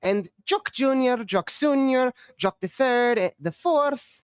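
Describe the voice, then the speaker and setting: A woman's voice: high voice, animated, slow, clear, resonant tone, no room sound, clean studio sound. A woman playing a dotty, bossy elderly former actress in a screenplay table read, theatrical and scolding. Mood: fondly reminiscing.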